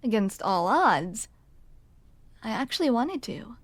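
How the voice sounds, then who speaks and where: High-voiced grumpy, flustered barista woman, acted by a woman. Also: no room sound, heavily acted. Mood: apologetic, wry. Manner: sardonic, self-deprecating, awkward, halting. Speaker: ASMR roleplay performer. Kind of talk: ASMR roleplay acting.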